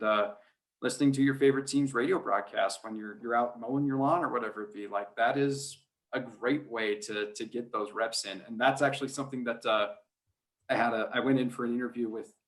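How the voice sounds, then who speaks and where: A man who works as a play-by-play announcer, chatting casually and without affectation in an interview. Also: medium pitch. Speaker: high school sports radio play-by-play announcer.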